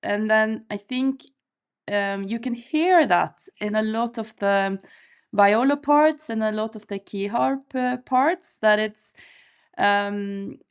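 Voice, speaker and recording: A woman folk musician answering interview questions in an unhurried, thoughtful way with frequent ums. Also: mid-range voice, smooth.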